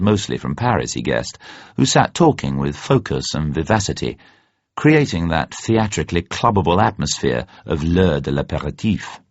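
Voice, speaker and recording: Lively, low-voiced male narrator. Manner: measured, literary, expressive. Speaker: narrator, audiobook reader. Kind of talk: dramatic scripted novel narration. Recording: dull, roomy recording.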